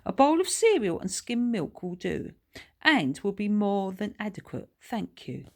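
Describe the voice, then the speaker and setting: A woman's voice: mid-range voice, sing-song, a little slow, darkish tone, voice pushed up. A woman voicing a smug, pompous schoolboy who talks in a very formal, well-spoken way. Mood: haughty. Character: smug, pompous, newly posh schoolboy son.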